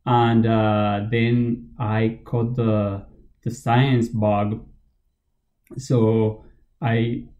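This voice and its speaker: A man's voice: on the low side, flat delivery, drawn-out words, warm tone, muffled recording. A man from Argentina with an Argentine Spanish accent, a scientist guest talking casually and hesitantly with many fillers. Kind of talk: casual interview talk.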